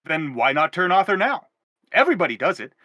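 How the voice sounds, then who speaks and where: A man's voice: on the high side, very animated, a little fast, pushed and bright, dry recording, clean studio sound, exaggerated voice. A man playing an earnest, persuasive, self-dramatizing father in an audio stage play. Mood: eager, persuasive. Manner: earnest, insistent, theatrical.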